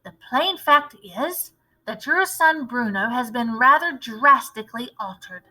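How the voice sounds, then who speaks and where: Woman as a firm, measured elderly grandmother. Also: a little high, lively intonation, pushed and bright, exaggerated voice, poor audio. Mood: insistent. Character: determined elderly grandmother, polite but bristling. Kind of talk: storybook read-aloud character voice.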